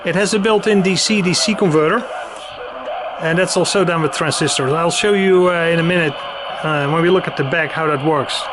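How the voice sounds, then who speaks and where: Man, high voice, casual product walkthrough, matter-of-fact, explanatory, noticeable static in the background. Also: brisk. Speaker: presenter demonstrating vintage radio gear. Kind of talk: casual hands-on product demonstration. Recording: constant radio static and hiss behind voice. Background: CB radio noise and chatter.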